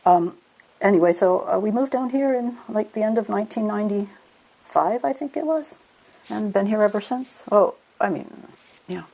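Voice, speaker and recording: A woman's voice: mid-range voice, normal speed, vocal fry, warm tone, low-quality recording. A middle-aged woman, a tour leader, telling rambling anecdotes in a casual chat and laughing along. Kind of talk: casual storytelling.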